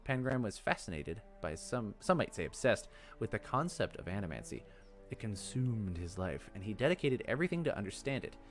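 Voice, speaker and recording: A man's voice: fairly low pitch, big pitch swings, a little fast, faint music behind. A man reading game lore aloud as narration for a let's play. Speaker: gaming video host.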